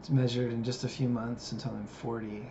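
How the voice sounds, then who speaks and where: A man's voice: mid-range voice, flat delivery, fairly quick. Musing man in his thirties, lo-fi. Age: 30s. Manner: musing, hesitant, many fillers. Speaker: vlogger. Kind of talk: reflective personal monologue. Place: living in Japan. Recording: dull lo-fi audio with background noise.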